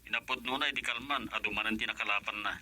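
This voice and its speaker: A man's voice: mid-range voice, steady tone, very fast, pushed and bright, no echo. An actor playing an affectionate husband and father in a radio drama, with a Filipino accent. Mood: easygoing. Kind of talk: Ilocano radio drama acting.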